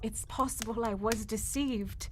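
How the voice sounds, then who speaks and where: A woman's voice: on the high side, unhurried, very noisy, close to an everyday voice. A woman performing an earnest, distressed young Englishwoman telling her tale in a theatrical live radio mystery. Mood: earnest, explaining. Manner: theatrical, melodramatic. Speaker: voice actor reading cold. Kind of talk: live old-time radio mystery acting.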